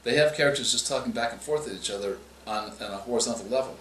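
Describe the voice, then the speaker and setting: A male author answering interview questions, explaining in a measured way and often restarting his phrases. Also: mid-range voice, a bit bright, slight background noise. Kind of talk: interview answers on writing craft. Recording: echoey room with faint ambience.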